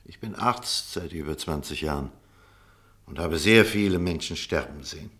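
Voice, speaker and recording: Middle-aged doctor, low-pitched, cynical, measured, formal delivery, radio drama. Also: male voice, fairly bright tone. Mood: dismissive. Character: reserved, cynical middle-aged male doctor, narrating and arguing. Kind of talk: German radio drama acting with first-person narration.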